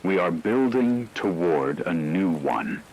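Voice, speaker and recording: A man playing a grave scientific expert, giving a measured, formal, technical briefing in a scripted radio drama about a disaster. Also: low voice, animated, a little mellow, heavily acted, rough recording. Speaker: radio broadcast expert commentator.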